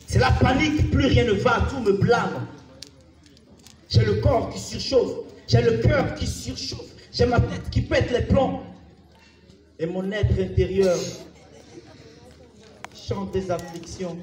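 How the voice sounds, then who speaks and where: A man's voice: sits high, slow, pauses often, a little mellow. A man performing theatrical slam poetry on a live stage, declamatory, intense and anguished, with insistent repetition. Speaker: slam poet. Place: live festival stage, picked up from a distance. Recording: echoey live stage, distant mic. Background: flute music.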